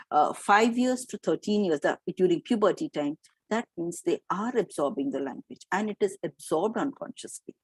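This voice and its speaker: Indian lady professor explaining to teachers, mid pitch, dry close sound, lots of um and you know. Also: dry recording, rough recording. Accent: Indian English. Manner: explanatory, with fillers and restarts. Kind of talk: lecture to teachers.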